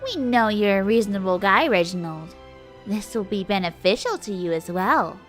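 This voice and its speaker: A man's voice: high-pitched, lively intonation, a bit bright, faint music behind, voice pushed up. A man doing a sly, lilting young filly. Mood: sly persuasive. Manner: sly, measured. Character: poised young filly playing a royal advisor.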